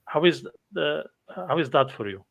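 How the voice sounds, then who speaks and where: A man's voice: a little high, expressive, moderate pace, vocal fry. A man hosting a podcast interview, speaking hesitantly with frequent fillers and restarts. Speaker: podcast host. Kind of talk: casual interview.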